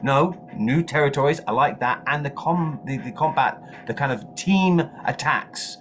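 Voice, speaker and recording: A man chatting enthusiastically about video game news as a co-host, excitable and repeating words for emphasis. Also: mid-range voice, normal speed, noisy background. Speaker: gaming show co-host.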